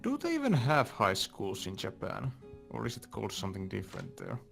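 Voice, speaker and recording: A man's voice: fairly low pitch, huge pitch swings, a little fast, creaky, fairly bright tone, faint music behind. A man giving casual co-commentary on a video game in a group chat.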